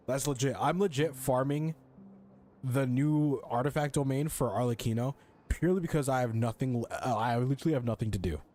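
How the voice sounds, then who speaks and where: A man's voice: medium pitch, normal speed, mellow. A young man doing casual gameplay commentary as a streamer, rambling and joking in slang. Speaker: video game streamer.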